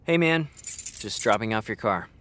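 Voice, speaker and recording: Frank, lively young man. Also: on the low side, measured pace. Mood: casual concern. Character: frank, exasperated young male actor confronting his best friend. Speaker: actor in an audio drama. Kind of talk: naturalistic audio drama acting.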